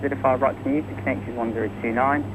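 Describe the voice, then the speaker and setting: Rapid-fire male air traffic controller on radio. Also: mid-range voice, steady tone, highs cut off. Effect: aviation radio. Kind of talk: clipped radio clearances. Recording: noisy airband radio transmission.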